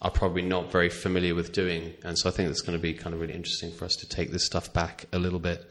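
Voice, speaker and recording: A man with a deep, low-pitched voice hosts an interview podcast. He talks in a relaxed, chatty way, full of asides and hedging phrases. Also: racing. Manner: casual, chatty, many fillers and asides. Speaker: podcast host, veterinarian.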